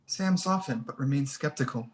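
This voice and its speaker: A woman's voice: very deep voice, animated, moderate pace, darkish tone. A woman reading screenplay stage directions as the narrator of a table read.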